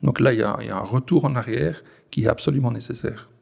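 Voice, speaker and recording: Gravelly Belgian farmer, halting speech. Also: male voice, mid-range voice, a little mellow. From Belgian Ardennes. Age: 40s. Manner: hesitant, many restarts and fillers. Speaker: farmer guest. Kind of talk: conversational interview. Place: on a farm.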